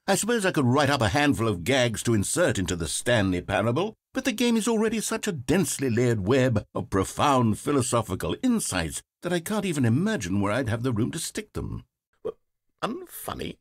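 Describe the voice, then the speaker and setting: A man's voice: medium pitch, very animated, a little fast, dry recording. A man playing a pompous, self-important video game narrator who is easily rattled, with a theatrical delivery. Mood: indignant. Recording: dry studio recording.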